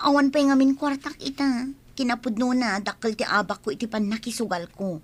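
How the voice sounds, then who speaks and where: A woman's voice: on the high side, steady tone, fairly quick, close to an everyday voice. A woman playing a brash, evasive gambler who dodges her debts in an Ilocano radio comedy drama, dismissive, with an Ilocano accent. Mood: evasive coaxing.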